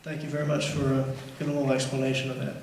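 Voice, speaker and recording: A man's voice: medium pitch, completely flat delivery, very fast, darkish tone. A male orchestra teacher, introducing a school concert in a rambling way with many fillers. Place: large school auditorium. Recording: echoey auditorium, faint noise.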